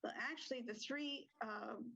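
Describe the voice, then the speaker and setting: Woman director, gravelly, hesitant answers on a TV interview. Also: on the high side, unhurried, a bit bright. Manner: hesitant, many fillers. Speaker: theater director.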